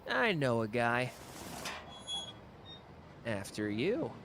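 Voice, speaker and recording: A gruff loyal mafia guy, slow and soft to the listener, snaps hard at his rival, music in the back. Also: mid-range voice, sing-song, noisy background, exaggerated voice. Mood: warm. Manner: gruff, swearing, quick to snap. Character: hot-tempered protective young mafia man, gruff and loyal. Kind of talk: ASMR roleplay acting.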